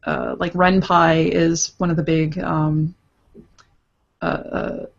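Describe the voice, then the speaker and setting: A woman's voice: low voice, level tone, slow pace, creaky, lo-fi sound. A woman explaining her work casually as an interview guest, in hesitant, stop-and-start phrasing. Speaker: guest, visual novel creator.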